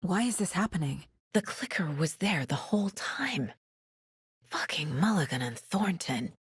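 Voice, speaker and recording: Husky, determined female detective. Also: on the low side, expressive, unhurried, no echo, clean studio sound, heavily acted. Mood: distressed, confused, then hushed, tense. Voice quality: husky, breathy. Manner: focused, understated, often muttering to herself. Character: determined female FBI agent investigating cult murders, dry-witted. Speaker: video game voice actress. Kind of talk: naturalistic video game dialogue.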